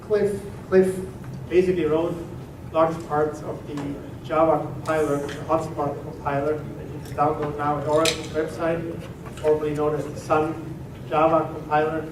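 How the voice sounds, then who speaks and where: A middle-aged Swiss man with a Swiss German accent talks a meetup audience through his work in an easy, conversational way. His voice sits a little high and stays fairly level. It was captured from a distance in a reverberant room, with steady noise underneath. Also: moderate pace, hardly any pauses. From Switzerland. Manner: relaxed and conversational, with frequent "uh" and "um". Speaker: presenter at a tech meetup. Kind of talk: technical talk to an audience. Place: meeting room at a company office. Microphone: room recording from a distance.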